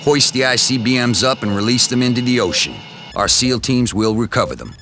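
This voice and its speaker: A man's voice: medium pitch, a little fast, lightly acted. An actor playing a stern male military commander who gives clipped, authoritative mission orders in a game cutscene. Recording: music bed under the briefing.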